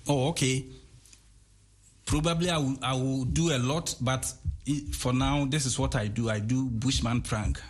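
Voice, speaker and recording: A man's voice: medium pitch, steady tone, normal speed. A man in his late thirties, a guest in an interview, answering in plain, simple phrases and often repeating himself, a little away from the mic. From Ghana. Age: 30s. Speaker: guest prank video creator. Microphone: a bit far from the mic.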